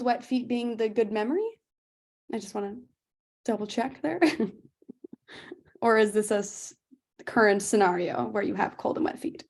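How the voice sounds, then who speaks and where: Brief: young woman webinar host, fairly high voice, casual, full of fillers. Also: darkish tone. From United States. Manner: chatty, laughs often, many fillers. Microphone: video call.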